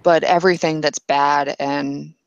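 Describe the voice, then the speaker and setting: A woman's voice: sits low, fairly even intonation, a little slow, no echo, highs cut off. A woman playing a blunt, impatient woman in an in-character tabletop roleplay, speaking in hesitant bursts that turn opinionated. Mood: earnest, warning.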